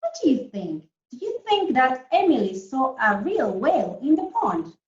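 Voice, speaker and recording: A woman teacher reading a story to young children in a deliberate, animated way, often putting questions to them. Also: high voice. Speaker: primary school teacher reading a story to pupils. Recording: roomy, dull lo-fi sound.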